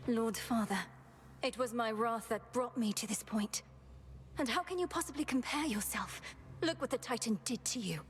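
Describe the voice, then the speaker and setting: An actress playing a determined, guarded young Underworld princess in a video game cutscene, earnest, formal and self-possessed. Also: female voice, a little high, moderate pace, a little hiss or hum, natural voice. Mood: defiant.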